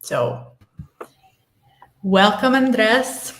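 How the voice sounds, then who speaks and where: A woman hosting an interview, speaking in a measured way with ums between phrases. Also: on the high side, animated, a bit bright, reverberant. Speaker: host. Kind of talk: hosting a live audio interview.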